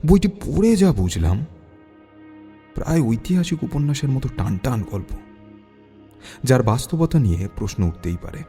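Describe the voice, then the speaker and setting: Guy playing young detective in audio story, mellow mid voice, thoughtful n polite, soft music under him. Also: mid-range voice, big pitch swings, moderate pace, long pauses. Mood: pensive. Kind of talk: audio drama acting.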